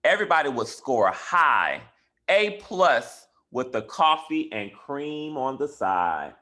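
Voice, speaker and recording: A man reads a children's story aloud at a slow, measured pace. His mid-pitched voice is bright and forceful. He phrases deliberately, leaning hard on key words for dramatic effect, like an educator reading to young pupils. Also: sing-song. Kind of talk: expressive picture-book read-aloud for children.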